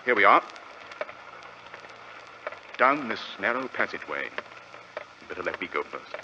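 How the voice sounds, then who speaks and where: An actor playing a brilliant, commanding, sardonic London detective with an English accent, in a crisp, theatrical 1940s radio drama style. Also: male voice, low voice, big pitch swings, a little slow. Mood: brisk, confident. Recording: dull, noisy vintage radio recording.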